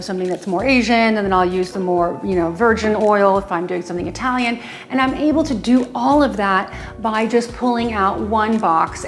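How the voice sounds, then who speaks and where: A woman talks excitedly through her favorite home finds, at a middling pitch, full of ums and little gushes of praise. Faint music plays softly behind her. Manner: enthusiastic, gushing, many ums. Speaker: home design vlogger. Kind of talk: casual product demo to camera. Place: her home, closet and kitchen.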